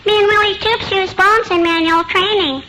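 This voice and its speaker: Very high-voiced, chirpy little schoolgirl. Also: steady tone, normal speed. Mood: earnest, chatty. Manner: childish phrasing, repeated little catchphrases. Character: chirpy little schoolgirl, inquisitive, earnest pest. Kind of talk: 1940s radio comedy acting. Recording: noisy old radio broadcast recording.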